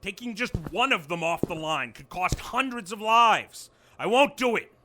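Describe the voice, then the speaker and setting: Vice president: noble, self-righteous, high-pitched declamation for radio drama, clear noise behind. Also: male voice, expressive, moderate pace.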